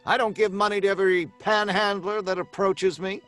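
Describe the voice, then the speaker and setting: An actor playing a flippant, excitable story writer in an old-time radio drama, breezy and bantering, mockingly unafraid. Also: male voice, high voice, brisk, faint music behind. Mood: mocking defiance.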